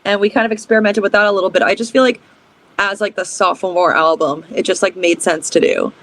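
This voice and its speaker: A woman's voice: mid-range voice, steady tone, fast talker, fairly bright tone. A young adult woman from Canada, a band's lead singer, talking in a casual, upbeat interview with lots of fillers. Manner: chatty, upbeat, many fillers. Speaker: band singer and guest.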